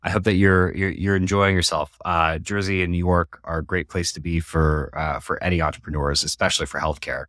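Casting: male podcast host, very low voice, dry close sound, light music under. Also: steady tone, brisk, few pauses, fairly bright tone, no echo. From Colorado. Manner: friendly, searching for words with restarts. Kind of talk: podcast interview.

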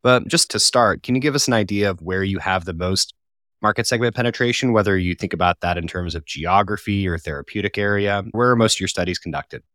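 A man's voice: deep voice, fairly quick, fairly bright tone, no room sound, studio quality. A man from Colorado hosting a podcast, reading an upbeat intro and asking friendly questions. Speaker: podcast host.

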